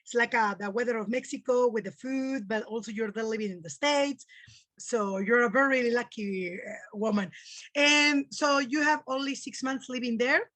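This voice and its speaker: Woman, podcast host, friendly, hesitant, higher voice, dry close sound. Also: moderate pace, a bit bright, no room sound. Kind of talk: interview hosting.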